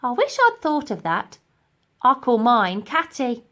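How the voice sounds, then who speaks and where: A woman's voice: fairly high pitch, wildly swooping pitch, unhurried, a bit bright, voice pushed up, full character voice. A woman voicing a daft, cheerful, guileless cleaning lady in a children's storybook. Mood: chirpy.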